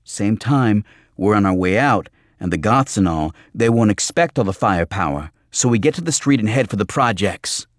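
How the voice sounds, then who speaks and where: A man's voice: on the low side, lively intonation, normal speed, dry recording, studio quality, lightly acted. A man voicing a brash, impatient young man in an audiobook thriller, slangy and argumentative. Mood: sulky explaining.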